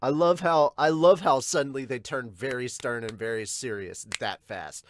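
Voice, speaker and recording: A man's voice: mid-range voice, moderate pace, dry recording. Enthusiastic man, close dry sound. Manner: enthusiastic, emphatic, sweary. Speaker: host of an anime and manga reaction show. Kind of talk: casual reaction commentary with dramatic read-alouds.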